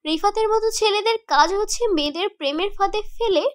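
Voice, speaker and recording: Woman voicing a very high-pitched vengeful ghost girl. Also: brisk, fairly bright tone. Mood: bitter, accusing. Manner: accusing, emphatic. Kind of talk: dramatic horror cartoon acting.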